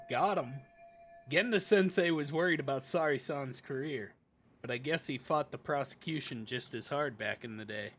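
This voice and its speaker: A man with a hoarse, gravelly voice from a sore throat, rambling casually while he plays a video game and clears his throat. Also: medium pitch, normal speed, fairly bright tone. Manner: rambling, chuckling asides, frequent throat clearing. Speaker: streamer playing a video game. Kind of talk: casual let's-play commentary.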